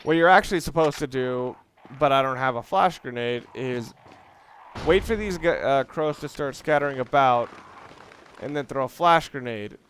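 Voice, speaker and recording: A man's voice: mid-range voice, moderate pace. Man doing gameplay commentary, noisy recording. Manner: excitable, swears casually. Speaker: video game commentator. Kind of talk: casual let's play gameplay commentary. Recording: clearly noisy home recording.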